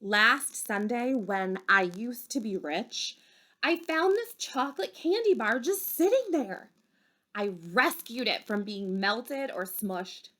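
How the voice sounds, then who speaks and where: Woman, bright slightly high voice, expressive storybook reader, dramatic emphasis. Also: takes it slowly. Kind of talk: expressive children's bedtime story read-aloud.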